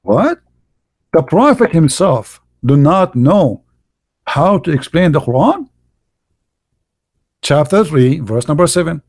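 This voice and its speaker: A man whose first language is Arabic speaks English with an Arabic accent and a slightly higher-than-usual pitch. He argues in a pointed, mocking way and repeats his points for emphasis. His grammar slips in the way a non-native speaker's does. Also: sing-song, takes it slowly, pauses often, a little mellow. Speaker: live-stream host debating callers. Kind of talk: heated religious debate talk.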